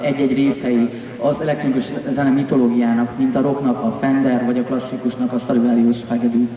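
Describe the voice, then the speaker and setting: A man's voice: mid-range voice, level tone, fast talker, crowd noise. A Hungarian man works as a live interpreter, relaying a stage speech to a concert audience. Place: on stage in a large concert hall. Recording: echoey concert hall, muffled sound, audience noise.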